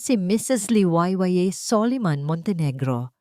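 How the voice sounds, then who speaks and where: A woman's voice: fairly low pitch, expressive, measured pace, a little mellow, no room sound, clean studio sound. A woman with a Filipino accent voicing a cold, formal male police officer in a narrated story. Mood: stern. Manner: formal, final.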